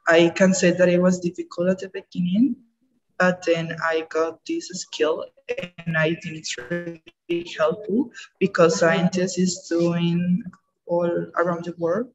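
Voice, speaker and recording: A woman's voice: lowish voice, level tone, a little slow, muffled recording, soft background music. A young woman answering as a guest on an online webinar, earnest and plainly phrased, over an earphone mic. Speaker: guest speaker. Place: indoor room, video call. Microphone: earphone mic on video call.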